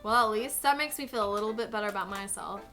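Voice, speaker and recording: A woman's voice: mid-range voice, fast talker, bright, forceful tone, faint music behind. A woman voicing an easygoing teenage boy in a visual novel game, reading in her normal voice.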